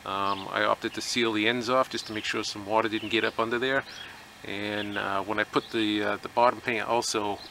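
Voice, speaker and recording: A middle-aged man casually explaining a do-it-yourself boat repair, relaxed and plainspoken with lots of fillers, recorded outdoors in a rural driveway. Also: on the low side, moderate pace, hardly any pauses, a little hiss or hum. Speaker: DIY workshop vlogger. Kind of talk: casual how-to narration. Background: birds and outdoor ambience.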